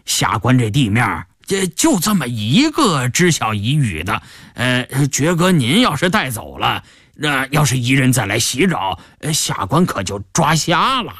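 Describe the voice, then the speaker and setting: A man's voice: mid-range voice, sing-song, a little slow, fairly bright tone, husky, no echo, clean studio sound, heavily acted. A man playing a flustered, deferential provincial official. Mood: amused, reluctant. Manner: hesitant, stammering, chuckling deference. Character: deferential, flustered male provincial governor, obliging and ingratiating. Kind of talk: Chinese audio storytelling.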